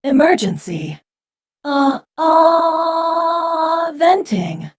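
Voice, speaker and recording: A woman's voice: very high-pitched, very animated, full character voice. A woman voicing a stuttering old voice box. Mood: flat. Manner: stuttering, drawn-out announcements. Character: old analog station voice box, female.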